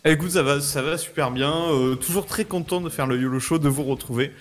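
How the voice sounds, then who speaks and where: Slightly high-voiced male co-host. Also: a little fast, faint music behind. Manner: informal, hesitant, repeating words. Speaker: show co-host. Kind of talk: casual live show chat.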